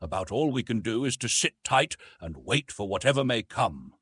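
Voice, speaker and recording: A man voicing a calm, brave, wry English gentleman in an audiobook adventure story. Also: medium pitch, normal speed, slightly rough, dry recording, heavily acted. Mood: rueful.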